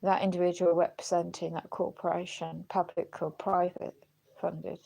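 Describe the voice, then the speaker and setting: A woman livestreaming in a rambling, halting way, earnest and questioning, her voice going as if she is unwell. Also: fairly low pitch, flat delivery, gravelly, mellow, slightly rough. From United Kingdom. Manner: halting, searching for words. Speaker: live streamer. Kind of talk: rambling personal livestream talk.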